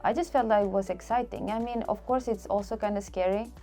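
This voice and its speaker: A woman's voice: medium pitch, steady tone, fast talker, warm tone, faint music behind. A woman, speaking candidly in an interview. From Portugal. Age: late 20s. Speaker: interviewee, solo traveller.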